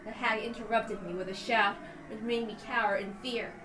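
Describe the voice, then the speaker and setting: A woman's voice: a little high, steady tone, moderate pace, echoey room, background noise. A woman reading a visual novel aloud as a dramatic first-person narration. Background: background music.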